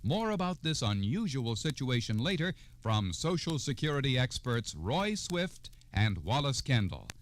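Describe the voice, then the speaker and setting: A man's voice: medium pitch, sing-song, moderate pace, few pauses. A man announcing an old-time radio program, reading a scripted public service introduction. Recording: old radio broadcast, faint music.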